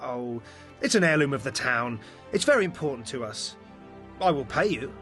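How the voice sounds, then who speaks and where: A man's voice: mid-range voice, very animated, moderate pace, fairly bright tone, faint music behind. A man playing a lordly, guarded client in an amateur fantasy audio play, speaking in a formal, self-important way. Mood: haughty, guarded. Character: lordly, guarded male client seeking a stolen heirloom.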